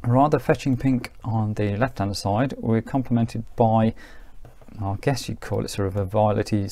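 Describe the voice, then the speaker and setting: A man's voice: medium pitch, a little mellow. A man giving an informal hands-on review in a chatty, rambling way, often correcting himself as he goes. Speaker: presenter of a vintage radio review.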